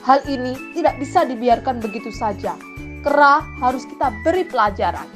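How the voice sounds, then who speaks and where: A woman voicing a stern, loyal crab in a children's tale, speaking with forceful emphasis. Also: a little high, animated, moderate pace, music in the background, natural voice. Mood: outraged.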